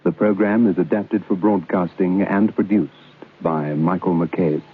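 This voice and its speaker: A tinny vintage radio recording carries a deep, husky man. He reads a scripted programme sign-off as the announcer. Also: fairly even intonation, a little slow.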